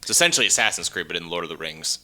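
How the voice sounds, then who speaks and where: A man co-hosting a podcast, bantering casually and pitching ideas in a blunt, joking, sometimes profane way. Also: lively intonation, very fast, pushed and bright. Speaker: podcast co-host.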